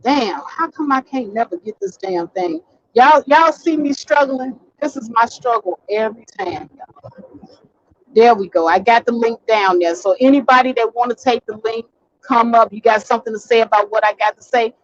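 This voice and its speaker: A high-pitched woman holds forth on a live stream from behind the wheel, blunt and animated and cursing freely, her voice wearing hoarse. The sound is dry and a touch dull. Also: moderate pace, no echo. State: getting hoarse. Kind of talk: casual live stream commentary. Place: in a vehicle, driving.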